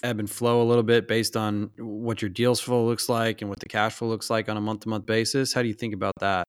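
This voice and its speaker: A man's voice: on the low side, flat delivery, fairly quick, clean tone, dry recording, studio quality. A man hosting a conversational interview, putting questions to a guest. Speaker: podcast host, interviewer.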